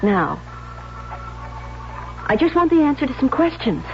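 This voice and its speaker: A woman's voice: sits high, big pitch swings, measured pace, exaggerated voice. An actress playing a jealous, obsessive young woman in an intense, theatrical 1970s radio drama style. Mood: bitter, menacing. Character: jealous, obsessive young bride-to-be turned murderess. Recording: dull vintage radio recording with music.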